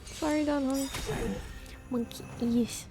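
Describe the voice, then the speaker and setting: A high-voiced woman gives casual live game commentary at an unhurried pace. She talks to herself in short, playful reactions, with game music clearly behind her. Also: fairly even intonation. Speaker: game streamer.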